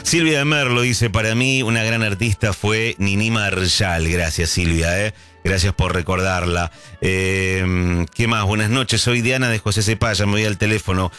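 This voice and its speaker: A man's voice: lowish voice, fairly even intonation, a little slow, a bit bright, clean tone, faint music behind. A man with a Rioplatense accent hosting a late-night radio call-in show, chatty and musing aloud as he reads messages and takes calls. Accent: Rioplatense Spanish. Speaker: radio host.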